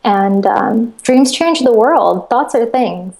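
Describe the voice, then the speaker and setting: A woman's voice: a little high, lively intonation, takes it slowly, a little mellow. Enthusiastic woman from Russia on a video call. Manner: enthusiastic, chatty, laughs often. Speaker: guest, crowdfunding platform co-founder. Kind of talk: casual interview chat.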